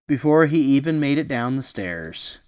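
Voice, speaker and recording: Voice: mid-range voice, sing-song, normal speed, darkish tone, smooth. A storyteller reading a picture book aloud expressively, with casual asides that explain things simply, as to a small child.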